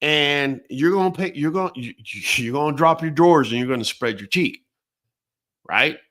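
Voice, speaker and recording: A man hosting a late-night talk show who was born in Venezuela. His voice sits a little high, and he talks in a casual, blunt, profane rant, stacking one opinion on the next. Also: normal speed, fairly bright tone. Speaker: host.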